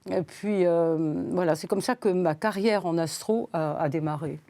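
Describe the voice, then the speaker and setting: Older lady in her 80s rambling on a talk show, lots of uh and restarts, mellow low-ish voice, dry sound. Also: normal speed, no echo. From Switzerland. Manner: rambling, many hesitations and repeated words. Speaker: guest. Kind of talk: interview. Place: studio set.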